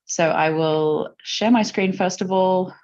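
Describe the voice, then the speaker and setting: Australian woman host for a live online Q&A, lower voice, chatty with ums, muffled call audio. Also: slow pace, a little mellow. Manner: friendly, chatty, with fillers. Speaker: webinar host. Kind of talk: live webinar hosting. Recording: dull lo-fi video call audio.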